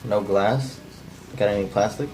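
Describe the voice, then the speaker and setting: A man's voice: fairly low pitch, a little slow, lightly acted. A non-actor man cold-reading the part of an edgy, blunt, folksy killer in a script table read. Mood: brusque. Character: edgy, cold-blooded man confessing to killing. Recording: faint background noise.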